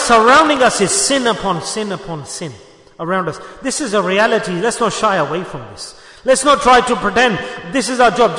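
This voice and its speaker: A man's voice: very high-pitched, sharp tone. A man whose first language is Urdu, preaching a sermon and exhorting listeners with rhetorical questions and repetition. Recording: echoey hall with long reverb.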